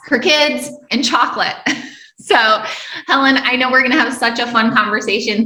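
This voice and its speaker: A woman's voice: on the high side, bright, forceful tone, reverberant. A woman hosting an interview podcast, upbeat and effusive as she introduces her guest and asks questions. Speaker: podcast host.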